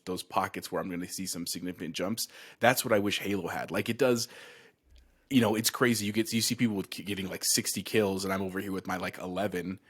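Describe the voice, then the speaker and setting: A man's voice: deep voice, fairly bright tone. A man co-hosting a casual gaming podcast, easygoing and self-deprecating, with many fillers. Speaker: podcast co-host.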